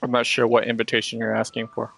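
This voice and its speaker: A man's voice: mid-range voice, monotone, fast talker, creaky, fairly bright tone, dry recording, soft background music. A man with a mid-range voice in a very dry recording. He talks casually as a gaming companion, patiently laying out how the game works piece by piece. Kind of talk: casual gameplay chat.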